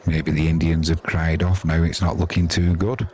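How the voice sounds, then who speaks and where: A man's voice: very deep voice, level tone, moderate pace, darkish tone. A man giving chatty, informal live cricket commentary with wry, colloquial asides. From England. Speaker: cricket commentator and stream host. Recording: crowd noise behind commentary. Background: stadium crowd.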